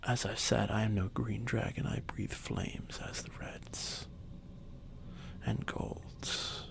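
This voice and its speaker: A man playing an arrogant, ancient dragon boy who was once a god, speaking with haughty condescension in a breathy, creaky voice for a fantasy ASMR roleplay. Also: on the low side, steady tone, exaggerated voice. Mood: proud, instructive. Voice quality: breathy, whispery, with creaky edges. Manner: haughty, condescending, unhurried formal speech.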